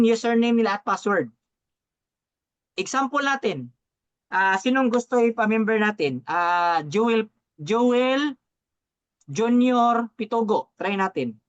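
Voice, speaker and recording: A man's voice: very high-pitched, smooth. A man coaching through a live step-by-step tutorial, often checking understanding with short tags, on dull lo-fi audio. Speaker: coach and presenter.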